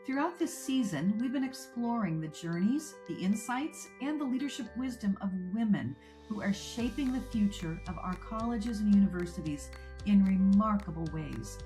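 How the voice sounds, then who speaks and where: A woman hosting a podcast, reading a polished introduction and then interviewing her guest in a measured way. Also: medium pitch, expressive, warm tone, soft background music. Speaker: podcast host.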